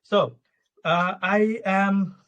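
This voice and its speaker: Young Bangladeshi-born founder, fairly high voice, rambling and casual, forever tacking on "right?". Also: male voice, steady tone, very slow, a bit bright, highs cut off. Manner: loose, repeats "right?" and restarts often. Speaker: token project founder. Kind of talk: live audio AMA talk. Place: Luxembourg.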